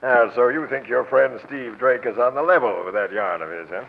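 An actor playing a gruff, skeptical male homicide captain, brusque and bossy, in old-time radio detective drama style. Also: medium pitch, fairly quick, close to an everyday voice. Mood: brisk. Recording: very muffled, noisy vintage radio recording.

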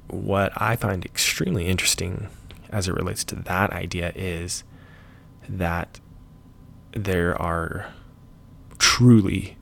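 A man speaks slowly in a low, gravelly voice, musing aloud on a solo podcast. He rambles thoughtfully and stops to correct and rephrase himself as he goes. A faint noise sits under the recording. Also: fairly bright tone.

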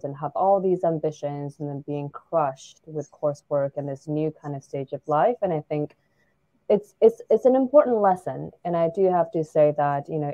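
Low-voiced woman, reflective interview guest. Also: no echo, lo-fi sound. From China. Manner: thoughtful, with many fillers and restarts. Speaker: guest, startup founder. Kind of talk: casual interview conversation.

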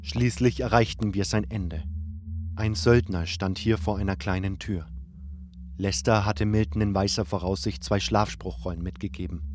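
A man reading a fantasy audiobook in the first person, in a measured, dramatic storytelling manner. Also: on the low side, steady tone, a little mellow. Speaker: audiobook narrator.

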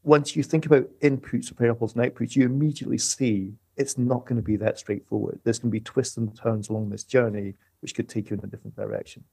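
A man with a mid-pitched voice explains economics as a guest. He talks conversationally, laying points out in order with colourful phrasing, in a close, dry recording. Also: fairly even intonation, quick pace, creaky, few pauses, a little mellow, slightly rough, no echo. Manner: structured, uses colourful imagery.

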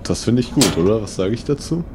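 A man with a fairly low voice plays an adventurer in an improvised comic audio play. His delivery is animated and theatrical, set against clear background noise. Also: fast. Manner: playful, theatrical exclamations.

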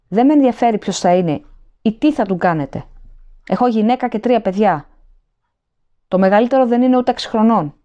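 A woman's voice: a little high, a little mellow, pitched above the performer's own voice, natural voice. Woman voicing a starving man begging in terror. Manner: desperate pleading, rising to shrieks. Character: starving terrified male prisoner, begging wildly.

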